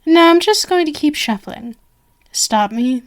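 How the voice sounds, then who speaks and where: Casting: actress as female stage magician for ASMR roleplay, high voice, unhurried delivery, flowery and coaxing, genial with a sinister undertow. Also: expressive. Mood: playful, showy. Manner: flowery, theatrical, coaxing speech.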